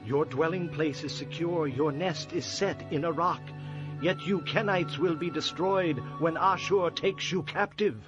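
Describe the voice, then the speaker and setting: An actor playing a solemn, resolute prophet who declaims oracles in a dramatized audio scripture. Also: male voice, fairly high pitch, natural voice. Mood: solemn, foreboding. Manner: declamatory, measured poetic delivery. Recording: dull lo-fi audio with music. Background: music.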